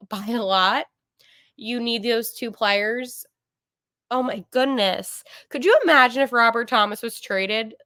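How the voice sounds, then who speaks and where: A young woman hosts a sports podcast from home with a bright, crisp voice. She talks in a loose, animated way, full of fillers and quick corrections. The sound is close and dry. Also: fairly high pitch, no room sound. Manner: chatty, animated, many fillers and self-corrections. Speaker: podcast host. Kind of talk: casual sports podcast monologue.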